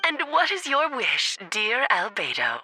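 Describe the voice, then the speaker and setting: Playful witch, highish voice, lively intonation, airy, theatrical, teasing, anime game. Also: measured pace, faint music behind, heavily acted.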